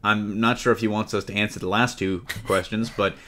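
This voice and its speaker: A man's voice: fairly low pitch, fairly even intonation, fairly quick, a bit bright. A man hosting a casual panel chat about comics, with a wry, joking way of talking. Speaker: podcast host.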